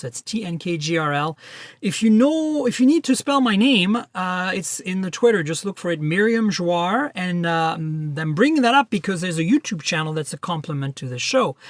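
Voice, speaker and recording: A man's voice: high voice, a bit bright, no room sound, studio quality. A man hosting a tech podcast, talking in a chatty, upbeat way and running through plugs. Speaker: podcast host. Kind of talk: casual tech podcast chat.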